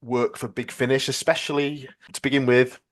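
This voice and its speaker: A man interviewing a guest on a podcast as a casual fan, informal and a little halting. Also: mid-range voice, level tone.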